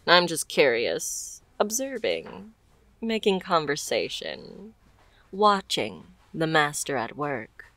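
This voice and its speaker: Woman doing a flirty mafia boss lady for asmr roleplay, low voice, takes her time, teasing and smooth. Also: very animated, fairly bright tone, no room sound, heavily acted. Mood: playful. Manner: teasing, smooth-talking, unhurried.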